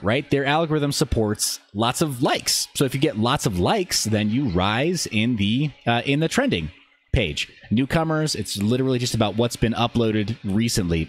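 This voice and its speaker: A man with a mid-pitched voice hosts a casual livestream. He talks viewers through a walkthrough in a rambling way, often breaking off into asides. Some background noise sits behind his voice. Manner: rambling, self-interrupting asides. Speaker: live streamer and channel host. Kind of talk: casual livestream chat, answering viewer questions while demonstrating a website.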